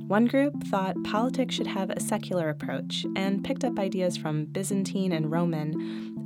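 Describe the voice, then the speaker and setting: A woman hosting a podcast and reading scripted narration in a clear, even way. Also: on the low side. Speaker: podcast host.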